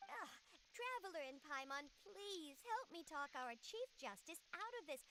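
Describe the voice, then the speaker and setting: A woman's voice: high voice, big pitch swings, measured pace, pushed and bright, exaggerated voice. A woman voicing a small, fussy, flustered creature clerk in a video game, fretful and officious, with stream noise behind. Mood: exasperated, fretful. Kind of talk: scripted video game dialogue acting.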